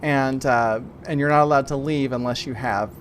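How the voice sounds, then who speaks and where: A man narrating a tabletop game as game master, casually and haltingly, searching for his words. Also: mid-range voice, steady tone, slight background noise.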